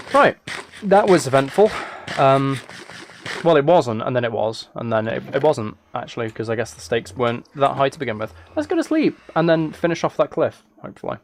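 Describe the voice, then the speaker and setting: A man with a mid-pitched voice chats casually while streaming a game. He rambles along in a playful, self-amused way. Keyboard clicks and noticeable background noise sit behind him. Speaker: live streamer. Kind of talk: casual chat with game commentary. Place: indoors at a computer.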